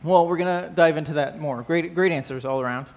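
A man's voice: on the high side, a little fast. A man, teaching a church class in a casual, conversational way, often checking in with listeners. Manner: conversational, asks the class questions, frequent "right?" and "uh". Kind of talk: church class lesson with opening prayer. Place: church stage with band gear, indoors.

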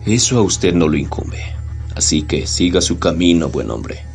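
A man acts a defiant young lover in a period drama. His voice is fairly low, his speech earnest and formal. Faint music sits behind him. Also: expressive, moderate pace, vocal fry, a little mellow. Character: proud, defiant young lover in old times. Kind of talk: historical audio drama.

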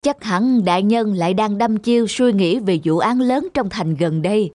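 A woman's voice: medium pitch, moderate pace, a little mellow, no echo, natural voice. A woman voicing a deferential, concerned male court aide in a cartoon folk tale, speaking respectfully and formally.

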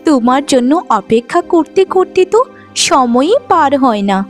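A woman's voice: sits high, expressive, normal speed. A woman voicing a meek, dutiful young village daughter-in-law in a cartoon, earnest and deferential. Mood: tender. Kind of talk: cartoon voice acting. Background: music.

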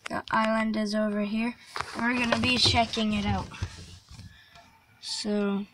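A woman's voice: a little high, level tone, a little slow, noisy background. A young girl touring a game map, talking casually with lots of likes and ums. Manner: hesitant, full of likes and ums. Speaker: Minecraft player touring a map. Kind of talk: casual gameplay commentary. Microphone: phone screen recording.

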